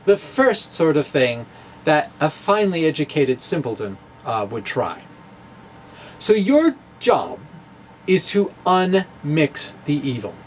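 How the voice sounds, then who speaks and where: A man's voice: a little high, a little hiss or hum. A man giving a dramatic reading of his own physics blog in a wry, playful way and laughing at his own jokes. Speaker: physics blogger.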